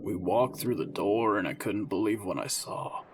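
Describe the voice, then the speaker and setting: A man's voice: on the low side, moderate pace, slight background noise, lightly acted. A man playing a serious, weary veteran homicide detective, narrating a crime drama in the first person. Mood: grim, tense.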